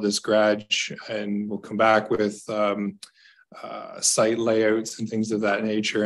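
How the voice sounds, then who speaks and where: A Canadian man in his thirties, a staff member reporting to a council over a video call from an office, measured and hesitant with fillers. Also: lowish voice. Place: small office.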